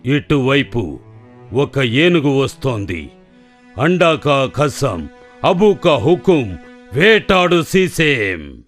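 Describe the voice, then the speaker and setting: A man's voice: medium pitch, very animated, very slow, long pauses, faint music behind. A man voicing a proud, lordly lion who speaks with authority in a children's cartoon fable. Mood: grudging. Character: proud, commanding male lion of a moral fable. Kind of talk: children's cartoon story acting.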